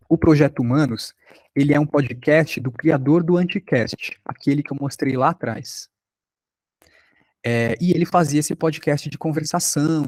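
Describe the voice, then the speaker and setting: A man's voice: fairly high pitch, darkish tone, dry recording. A man with a Brazilian accent giving an informal online lecture as a workshop instructor.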